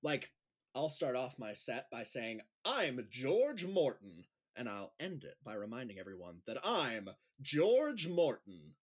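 A man's voice: mid-range voice, sing-song, measured pace. An actor playing a nervous, earnest male gym teacher who speaks awkwardly and hesitantly in an audio drama. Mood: earnest, eager. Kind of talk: naturalistic audio drama dialogue.

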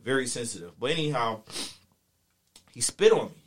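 A man's voice: mid-range voice, animated, normal speed, crowd noise. Male, US East Coast accent, mid-range voice, casual storyteller with heavy slang and a boastful streak. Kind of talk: casual chat, animated storytelling.

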